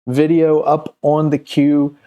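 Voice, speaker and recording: A man in his fifties giving a casual, promotional vlog talk as a creator, halting and often searching for words. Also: fairly high pitch, completely flat delivery, warm tone. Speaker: comic creator and channel host. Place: small room at home, indoors.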